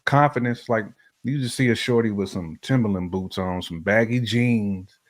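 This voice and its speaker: A man's voice: fairly low pitch, normal speed. A man co-hosting a relationship podcast, chatting casually and colloquially with opinionated, slangy phrasing and repeats for emphasis.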